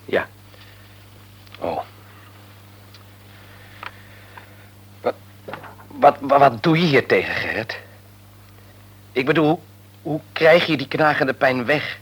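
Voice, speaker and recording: An actor playing a middle-aged grieving father in a radio play, bitter and argumentative, sinking into quiet despair. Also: mid-range voice, expressive, unhurried, pauses often, breathy edge.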